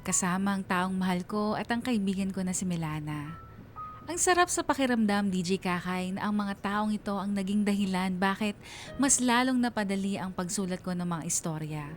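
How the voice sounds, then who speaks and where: A woman's voice: medium pitch, moderate pace, faint music behind, lightly acted. A woman playing a heartfelt young writer telling her own love story as radio drama narration. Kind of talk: first-person radio drama narration, letter to DJ.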